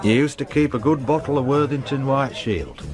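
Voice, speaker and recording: An actor playing a dry, dogged police detective, understated in a radio drama. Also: male voice, mid-range voice, normal speed, over music, lightly acted. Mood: probing. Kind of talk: naturalistic radio drama acting.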